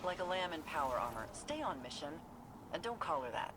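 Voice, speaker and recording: A woman's voice: sits low, very animated, a little fast. An actress playing a blunt, stern female military officer who speaks tersely. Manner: terse, dry, no-nonsense. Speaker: deputy commander. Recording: noisy game audio.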